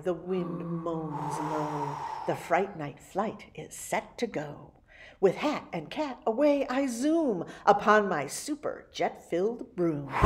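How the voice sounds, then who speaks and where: A woman reading a picture book aloud as a story-time host, with theatrical, animated delivery. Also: fairly low pitch. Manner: theatrical, with exclamations and spooky sound effects. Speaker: library story-time reader.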